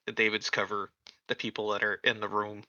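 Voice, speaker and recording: A man's voice: lowish voice, flat delivery, brisk, pushed and bright, dry recording, muffled recording. A man chatting casually as a player at a tabletop roleplaying game.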